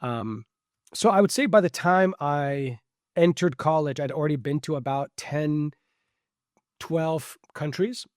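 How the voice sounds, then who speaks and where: A man's voice: a little high, measured pace, darkish tone, no room sound, studio quality. A middle-aged man born in Switzerland, a podcast guest answering questions in a reflective, conversational way with many fillers and restarts.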